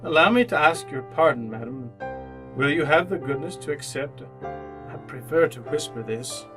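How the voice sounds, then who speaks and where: A man acts out a reformed old miser in a radio play, giddy and gruffly teasing. The voice sits fairly high and swoops widely, theatrical and exclamatory, with some music around it. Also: animated, normal speed, lightly acted. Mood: earnest, joyful. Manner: theatrical, exclamatory, swinging from hushed to booming. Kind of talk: old-time radio drama acting.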